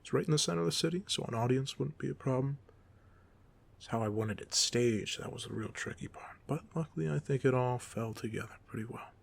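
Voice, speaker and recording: Husky, gravelly goofy off-duty supervillain, acted by a man. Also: medium pitch, a little fast. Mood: boastful storytelling. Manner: casual, teasing, self-deprecating, chuckling asides. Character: goofy, secretly soft-hearted male supervillain, off duty. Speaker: voice actor in an audio roleplay. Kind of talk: ASMR roleplay monologue, casual conversational acting.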